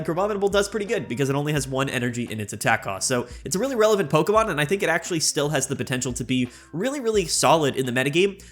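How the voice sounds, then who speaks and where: Fast-talking young man, sick and sniffly. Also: on the high side, a bit bright. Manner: rapid, enthusiastic, many fillers. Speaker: channel host. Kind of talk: energetic game tier-list commentary.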